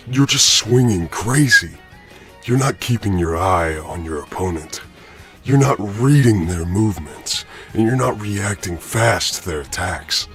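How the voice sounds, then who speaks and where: A man voicing a gruff, patient superhero combat mentor in an amateur comic dub, stern and instructive. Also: low voice.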